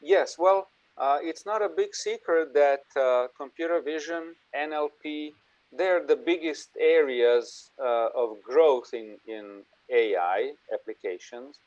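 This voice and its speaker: A man's voice: on the high side, slow, darkish tone, dry recording. A man giving a conference presentation and answering questions in a conversational way, with restarts as he goes. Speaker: invited speaker presenting an AI institute. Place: online video conference. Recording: dull, lo-fi online call audio.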